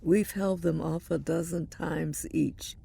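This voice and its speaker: A woman playing a weary, resolute veteran starship captain in an audio drama, measured, dry and commanding. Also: deep voice, steady tone, creaky, mellow, husky, full character voice. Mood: weary, reflective. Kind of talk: science fiction audio drama acting.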